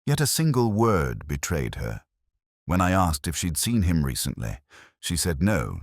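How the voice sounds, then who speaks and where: A man with an extremely low voice narrates as a world-weary, middle-aged detective inspector. His delivery is reflective and literary, with wide melodic swings in pitch. The sound is dry and close, suited to an audio drama. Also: breathy edge, no room sound. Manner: literary, measured, reflective, wry. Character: weary, introspective male English police inspector, middle-aged.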